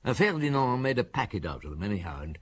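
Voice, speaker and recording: An actor playing a seasoned, genial middle-aged police inspector in a radio drama, conversational, wry and musing. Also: male voice, fairly low pitch, very animated, quick pace, husky. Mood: reminiscing.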